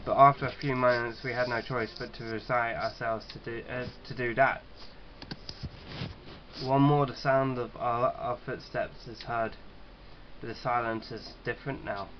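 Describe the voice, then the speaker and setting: Man, medium pitch, halting read-aloud of game story, casual sweary asides, muffled lo-fi mic, slight background noise. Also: fairly even intonation. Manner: halting reader who stumbles and rereads. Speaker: gaming video host. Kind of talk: reading visual novel narration aloud with casual reactions.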